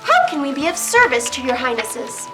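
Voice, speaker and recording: A woman's voice: high-pitched, big pitch swings, normal speed, music in the background. A woman playing an eager, courteous magical creature in a children's reader's theater play.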